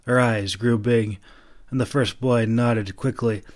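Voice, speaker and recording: A man narrating a fantasy audiobook, reading scripted prose with some halting stumbles and restarts. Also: medium pitch, steady tone, darkish tone.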